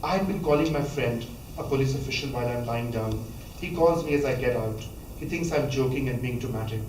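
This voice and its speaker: A man, reading a memoir passage aloud at a measured pace, now and then stumbling and restarting a word. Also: medium pitch, a little mellow, slight background noise. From Pakistan. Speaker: author reading from his book. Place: college lecture hall. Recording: echoey hall, voice from a distance, faint ambience.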